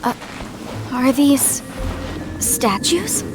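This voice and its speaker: An actress playing an earnest, principled young archaeologist in a video game, speaking politely. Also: female voice, sits high, lively intonation, drawn-out words, music in the background, full character voice. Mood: curious, uncertain.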